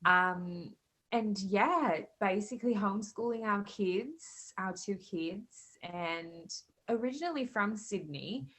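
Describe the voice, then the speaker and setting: A woman's voice: mid-range voice, slow, fairly bright tone. A pregnant woman in her thirties with a light South African accent, chatting as a podcast guest with plenty of fillers. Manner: chatty, many fillers and laughs. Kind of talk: casual interview chat.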